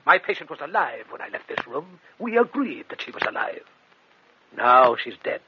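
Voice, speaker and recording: An actor playing a stern, skeptical physician in a gothic radio drama, formal, indignant and emphatic. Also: male voice, on the high side, very animated, moderate pace. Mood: accusing. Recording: dull radio recording with hiss.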